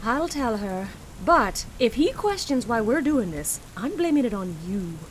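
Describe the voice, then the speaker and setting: A playful, affectionate Dragonborn woman, acted by a woman, teases softly in a fantasy audio drama. Her voice is fairly high and very animated. There is faint background noise. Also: unhurried, low-quality recording. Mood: warm, teasing.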